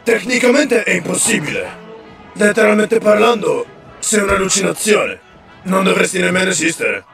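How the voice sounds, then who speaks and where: A blunt, pedantic robot played by a man in a cartoon dub. The high, creaky voice echoes from a little way off the mic. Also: animated, normal speed, a bit bright, soft background music, heavily acted. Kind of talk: amateur cartoon fan dub. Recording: echoey, slightly distant mic.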